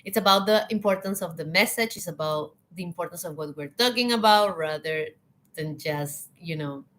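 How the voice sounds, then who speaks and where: A woman whose first language is Spanish, giving earnest leadership advice in English with pauses and restarts. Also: on the low side, a bit bright. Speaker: hospitality leadership coach. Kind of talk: talking to camera.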